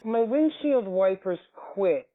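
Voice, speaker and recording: A woman's voice: mid-range voice, lively intonation, takes it slowly. Woman doing bossy old southern lady, feisty, tinny narrow sound, no room echo. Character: feisty, bossy older small-town lady. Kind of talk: audio drama dialogue.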